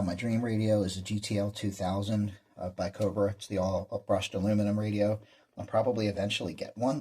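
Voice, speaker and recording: A man with a fairly low, husky voice chats casually as a hobbyist presenter. He explains things in a rambling, enthusiastic way, as if showing something off to viewers of his vlog. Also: a little mellow. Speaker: amateur radio hobbyist presenter. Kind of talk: casual show-and-tell vlog talk.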